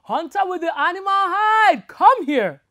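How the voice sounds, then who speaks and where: A woman voicing a mischievous boy who shouts from inside a hollow tree, pretending to be the tree. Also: very animated, unhurried, full character voice. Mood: booming, teasing. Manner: loud booming call.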